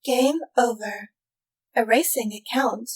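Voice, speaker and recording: An impassive female computer system in a video game, voiced by a woman. She speaks in a fairly high voice, giving short, clipped announcements without emotion. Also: slow pace, poor audio.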